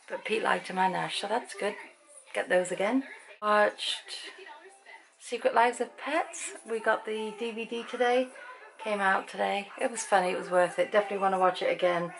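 A woman in her fifties from Britain with an English accent, chatting to a handheld camera in a dim room at night. Her voice is croaky, and she rambles and laughs often. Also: mid-range voice, slight background noise, poor audio. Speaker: vlogger. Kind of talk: casual chat to camera. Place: bedroom at night, dim lamp light. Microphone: handheld camera at arm's length.

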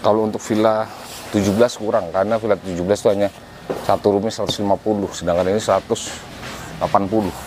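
A man in his forties casually reviewing a camping air mattress for viewers, relaxed and chatty, recorded indoors at home on a camera mic a few meters away. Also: lowish voice, fast talker, background noise. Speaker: product reviewer.

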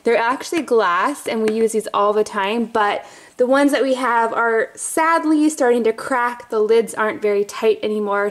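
A woman in her thirties chatting casually to her viewers at home, upbeat and friendly while showing groceries to the camera. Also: fairly high pitch. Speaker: family vlogger. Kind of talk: casual grocery haul chat. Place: home, indoors. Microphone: camera mic, off-screen speaker filming products.

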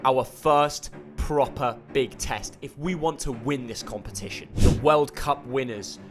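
A man giving energetic, scripted football vlog narration. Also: medium pitch, unhurried. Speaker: football vlogger. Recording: music bed behind voice.

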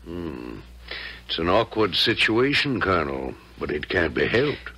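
Gravelly, deep statesman. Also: very animated, measured pace, sharp tone, full character voice. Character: grave, deliberate president, weighing trouble. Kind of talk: 1970s radio drama acting.

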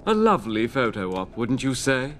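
Older male scientist-inventor: measured, wry, world-weary game cutscene delivery over soft music. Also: mid-range voice, sing-song. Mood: urbane, wry. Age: 60s. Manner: measured, rhetorical, gently ironic. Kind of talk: video game cutscene acting.

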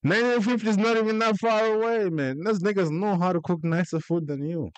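A man's voice: high voice, fairly quick, a little hiss or hum. A young man with an Australian accent, chatting loosely to viewers as he reacts to a video, with slangy, laid-back banter. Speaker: reaction streamer. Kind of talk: casual reaction commentary. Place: small indoor room. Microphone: handheld mic with headset.

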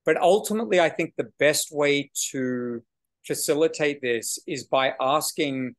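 A man in his forties, the director of an arts venue, answering a question on a video call in a measured, slightly halting way. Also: fairly high pitch. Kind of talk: panel conversation on a video call. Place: small room with brick wall. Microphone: video call webcam.

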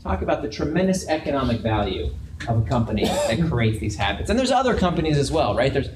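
A man's voice: medium pitch, very animated, fast. A man giving a conversational talk to a live audience in a large open event space, asking the crowd questions as he goes. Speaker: guest speaker. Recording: echoey room with background noise.

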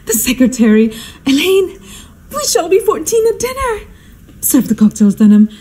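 A woman's voice: very high voice, sing-song, normal speed, mellow. A woman playing a histrionic society hostess and mother in a radio drama, speaking in a flustered, theatrical, exclamatory way. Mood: elated. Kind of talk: stagey radio drama acting.

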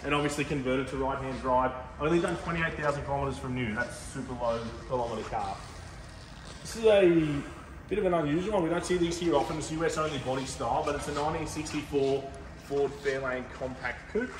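A man's voice: mid-range voice, fairly quick. Man, car dealer walkthrough, laid-back and keen, roomy hall reverb, mic a bit distant, noisy background. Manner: laid-back, enthusiastic car talk with fillers. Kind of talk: casual showroom walkthrough. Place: large indoor car showroom.

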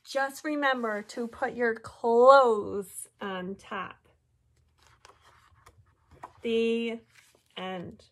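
A woman in her thirties, a teacher reading a picture book aloud to children with animated, exaggerated delivery and drawn-out words. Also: on the high side. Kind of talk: expressive children's storybook read-aloud. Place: small indoor room with classroom displays.

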